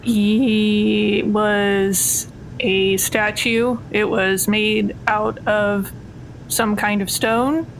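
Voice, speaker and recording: A woman's voice: fairly even intonation, drawn-out words, gravelly, low-quality recording. A woman playing a wry, skeptical jailed thief in a tabletop game, casual and sardonic. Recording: noticeable low rumbling background noise.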